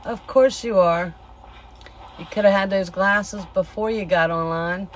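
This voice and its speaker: A woman co-hosting a casual video channel, talking in an unhurried, teasing way. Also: medium pitch, slight background noise. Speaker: channel co-host. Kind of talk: casual channel intro and interjections.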